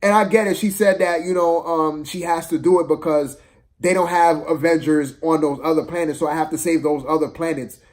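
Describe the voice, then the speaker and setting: A man's voice: a little high, steady tone, brisk. A man giving a casual, opinionated movie review, exasperated and slangy, repeating phrases for emphasis.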